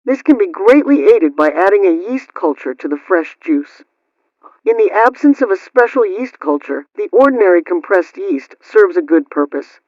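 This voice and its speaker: A woman's voice: medium pitch, lively intonation, normal speed, no room sound, lo-fi sound, lightly acted. A woman playing a prim 1920s radio homemaking host, reading household advice in a formal, instructional way over a band-limited old-radio sound. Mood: formal, instructive.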